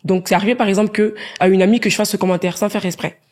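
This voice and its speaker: Female podcast guest, lively and hesitant, medium pitch. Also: rapid-fire. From Guinea. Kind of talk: casual podcast chat.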